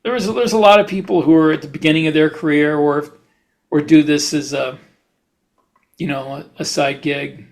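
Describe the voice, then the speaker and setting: A man co-hosting a podcast in casual chat, talking in a halting, rambling way. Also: on the high side, fairly even intonation, gravelly. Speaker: podcast host; writer.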